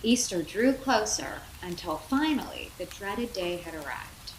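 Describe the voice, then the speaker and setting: A woman reading a children's bedtime story aloud as a mother would, in an expressive, theatrical way. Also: mid-range voice, a little slow. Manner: animated, theatrical, with dramatic whispers and asides. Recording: roomy echo, clear background noise.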